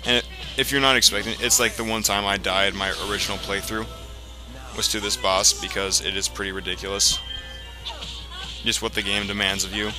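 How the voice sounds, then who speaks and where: A man giving casual, explanatory gameplay commentary in a halting way, as the host of a let's play. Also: lowish voice, flat delivery. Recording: game music behind the voice. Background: video game music.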